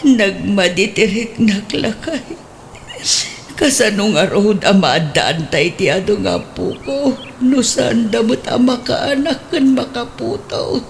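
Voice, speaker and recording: A woman's voice: fairly high pitch, expressive, takes it slowly, creaky, a little hiss or hum, exaggerated voice. A woman acting a scolding middle-aged mother in a radio drama, speaking with an Ilocano accent and breaking into exclamations. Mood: complaining.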